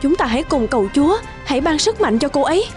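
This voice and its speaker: A female hospital medic from a cartoon drama. She is concerned and earnest, with a high-pitched voice. Music plays faintly behind her. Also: big pitch swings, quick pace, darkish tone. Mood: grave, calming. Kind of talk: dubbed cartoon drama acting.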